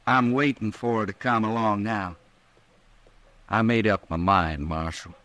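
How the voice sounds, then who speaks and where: An obstinate young-love-struck cowhand in a radio Western. His voice is low, with a rural Western American drawl, halting and brooding. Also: steady tone, a bit bright. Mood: earnest, stubborn. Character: stubborn, lovestruck, brooding cowhand with a rifle. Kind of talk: 1950s radio drama acting.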